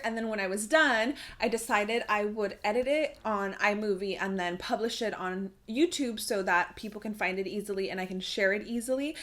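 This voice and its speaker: Young female vlogger, medium pitch, chatty and casual, explaining step by step to viewers. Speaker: voice actor and video creator. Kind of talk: casual tutorial vlog to camera.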